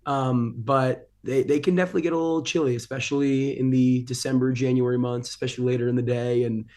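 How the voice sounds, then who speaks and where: Easygoing young American man, medium pitch. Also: steady tone, fast talker, darkish tone. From Boston, United States. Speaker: guest, broadcaster and ring announcer. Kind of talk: casual interview chat.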